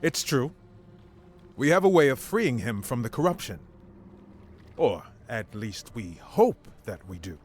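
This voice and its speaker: Lively, earnest clan leader. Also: male voice, mid-range voice, unhurried, long pauses, slight background noise. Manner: earnest, reflective. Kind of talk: fantasy game dialogue.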